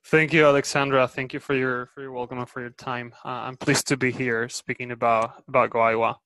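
A man's voice: mid-range voice, little pitch movement, brisk, a bit bright, no room sound, rough recording. A man from Spain with a Spanish accent, answering interview questions in a hesitant way with many restarts and fillers. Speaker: guest, company executive.

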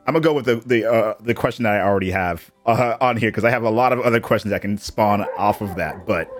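Man, lowish voice, podcast host, halting roundabout questions, casual, faint music behind.

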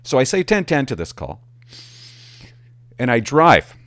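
A middle-aged man, a former police officer, telling work anecdotes casually in a halting, animated way and acting out the dialogue. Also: medium pitch, dry recording. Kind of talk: casual anecdotal storytelling to viewers.

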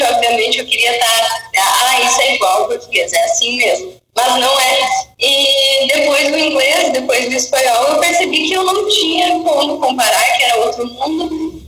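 Bright high-voiced woman teacher, echoey. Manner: thoughtful, hesitant, many restarts. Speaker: English teacher. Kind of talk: live-stream interview chat. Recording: echoey room, far from mic, faint music.

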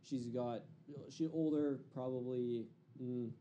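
A man running a tabletop game as game master, improvising his narration in a casual, halting way. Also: mid-range voice, steady tone, dark tone, highs cut off, slight background noise.

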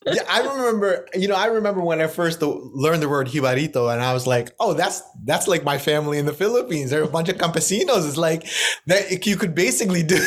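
An American man, a poet, talking as an interview guest in a reflective way, often restarting his sentences and laughing softly. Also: on the high side, lively intonation, fairly quick, slightly rough. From United States. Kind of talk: casual conversational interview.

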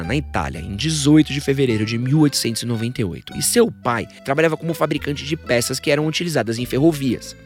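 A man hosting a scripted biographical podcast, with informal joking asides. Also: mid-range voice. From São Paulo, Brazil. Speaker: podcast host. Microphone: studio podcast microphone.

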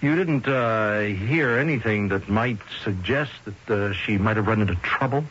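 An actor playing a patient police lieutenant who questions haltingly and probingly in a radio drama. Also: male voice, on the low side, a bit bright, natural voice. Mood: patient, businesslike. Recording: muffled, hissy old radio broadcast.

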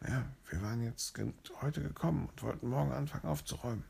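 A man voicing a bossy male parrot police officer who scolds officiously, in a gravelly husky voice. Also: medium pitch, quick pace, darkish tone, full character voice. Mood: scolding.

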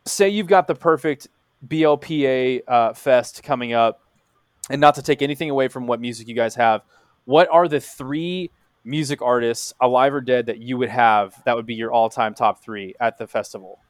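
A man's voice: medium pitch, moderate pace, no room sound. Chatty podcast host man, dry sound. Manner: easygoing, filler-heavy, chatty. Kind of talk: casual podcast interview.

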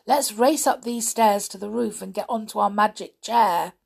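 A woman's voice: mid-range voice, moderate pace, creaky, breathy edge. A woman voicing a bold, plucky young boy in a children's adventure story. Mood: urgent.

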